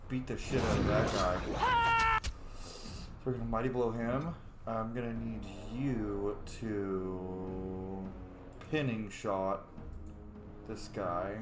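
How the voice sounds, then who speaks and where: A man hosting a let's play, commenting casually and excitedly, swearing and humming along as he plays. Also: lowish voice. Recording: echoey room. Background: game music.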